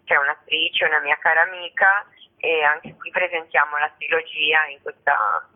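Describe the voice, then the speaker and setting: A woman's voice: low-pitched, normal speed. A woman interviewed as an actress, casually giving details of upcoming events. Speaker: actress and author, interview guest. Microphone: telephone. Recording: tinny narrow phone line.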